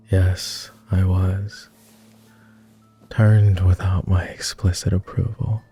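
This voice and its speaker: A man playing a weary, courteous immortal vampire in intimate ASMR roleplay, speaking softly in formal, hesitant phrases. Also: low voice, vocal fry, full character voice. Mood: wistful. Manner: formal, measured, hesitant with pauses. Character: weary, reserved, self-loathing male vampire, immortal, courteous.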